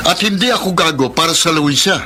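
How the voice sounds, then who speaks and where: An actor playing a lovelorn, stubborn younger brother in a radio melodrama, with earnest, dramatic delivery. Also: male voice, a little high, expressive, fast talker, slight background noise. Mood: indignant. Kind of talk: Filipino radio melodrama acting and narration. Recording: loud music bed under the voice.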